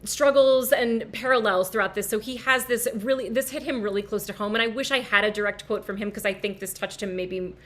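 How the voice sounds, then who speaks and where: A woman's voice: medium pitch, a little fast, hardly any pauses, a bit bright. A woman speaking casually at an online event as a guest educator, earnest, emphatic and gushing.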